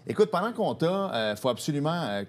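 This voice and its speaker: A man's voice: on the high side, big pitch swings, fairly quick. A man with a Quebec French accent, co-hosting a sports show with casual, familiar and emphatic chat. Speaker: sports show co-host, former hockey coach.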